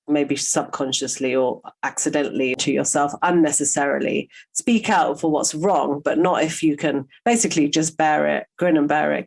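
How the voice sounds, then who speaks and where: Gravelly-voiced thoughtful woman host. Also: sits low. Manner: thoughtful, searching, with fillers. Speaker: podcast host. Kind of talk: conversational interview.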